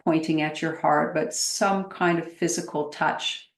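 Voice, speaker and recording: Slow, low-voiced woman guiding meditation. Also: steady tone, roomy sound. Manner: gentle, unhurried, with long pauses. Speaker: meditation teacher. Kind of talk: guided meditation.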